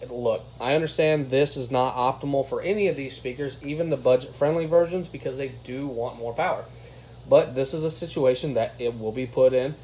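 Male reviewer, informal and plain-spoken, average pitch, faint room noise behind him. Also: darkish tone. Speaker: product reviewer. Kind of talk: casual hands-on review commentary. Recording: clear background noise, room ambience.

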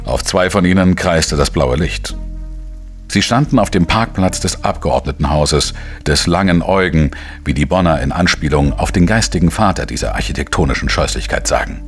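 An actor playing a wry, world-weary journalist who narrates a radio crime drama in a measured, dry manner. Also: male voice, low voice, faint music behind. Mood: wry, reflective. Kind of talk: scripted first-person crime narration.

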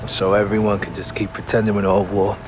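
Man playing a low-voiced frustrated British sergeant. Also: monotone, fast talker, background noise, natural voice. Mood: bitter, frustrated. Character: frustrated young British sergeant, blunt and indignant.

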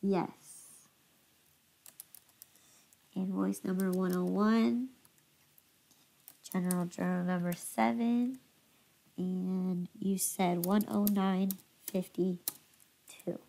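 Woman, gravelly tone, casual study partner confirming numbers. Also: medium pitch, fairly even intonation, takes it slowly, pauses often, mellow. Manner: checking figures aloud, explaining steps. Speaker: student working through a bookkeeping exercise.

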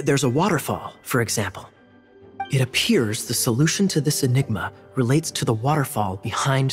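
Actor as a charming, wry young knight captain; easy teasing delivery, faint game music behind. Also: male voice, mid-range voice, natural voice. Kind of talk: game dialogue.

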